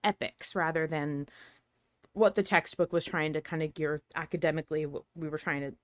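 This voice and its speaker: A woman with a fairly low voice talks casually as a podcast host discussing a story she follows. The sound is dry, with little room in it, and her manner is reflective and enthusiastic. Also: fairly even intonation, normal speed, dry recording.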